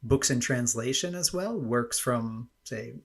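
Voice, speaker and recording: A man's voice: medium pitch, unhurried, vocal fry. A middle-aged man hosting a podcast interview, chatty and rambling, laughing often. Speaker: podcast host. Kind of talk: casual interview chat.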